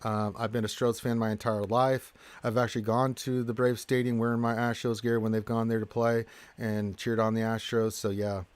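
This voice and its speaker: A man's voice: mid-range voice, little pitch movement, a little fast, dry recording. An American man from Houston chatting casually as a livestream host, reading out viewer comments and answering them in a jokey, exclaiming manner. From Houston, Texas, United States.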